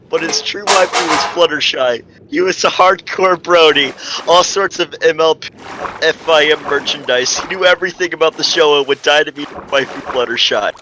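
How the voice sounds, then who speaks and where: A man giving an amateur dramatic reading of a story, stumbling over the text and breaking off into casual, exasperated asides. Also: on the high side. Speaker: reader of a fan fiction story. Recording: faint background noise.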